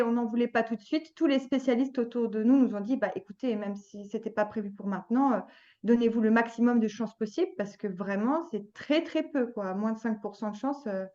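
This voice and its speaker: A woman in her thirties, a podcast guest, tells her own story in a slightly high voice, pausing and restarting often. Her audio comes through a dull, lo-fi remote line. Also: darkish tone. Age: 30s. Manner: hesitant, many fillers and restarts. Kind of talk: personal story, casual chat.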